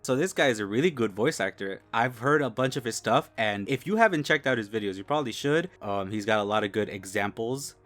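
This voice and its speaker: A man's voice: lowish voice, animated, a little fast, few pauses, a bit bright, faint music behind. A man hosting a casual chat, easygoing and self-deprecating, chuckling between remarks. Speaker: channel host.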